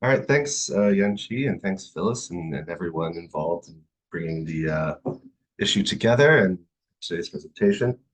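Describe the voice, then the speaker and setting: Low husky guy presenting his research, kinda halting and hesitant, academic talk. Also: animated, poor audio. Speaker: academic presenter, literature professor. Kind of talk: academic talk, semi-scripted.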